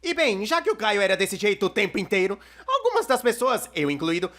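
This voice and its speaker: Man voicing wry young cartoon narrator, male, Brazilian accent, high-pitched, very lively intonation, casual commentary. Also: fairly quick, exaggerated voice. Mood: wry, incredulous. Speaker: dubbed narrator of an animated opinion video.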